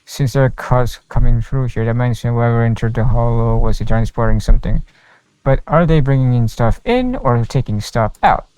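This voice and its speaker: A man's voice: medium pitch, expressive, normal speed, darkish tone, smooth, no echo, low-quality recording. A man live-streaming a game, reading its dialogue aloud in an informal way. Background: game music, faint.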